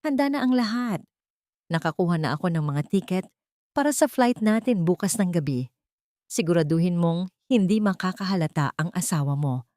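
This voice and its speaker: A woman's voice: lowish voice, lively intonation, moderate pace, warm tone, no echo, studio quality, natural voice. A woman playing a young man, a scheming secret lover, conspiratorial and urgent in an audio drama.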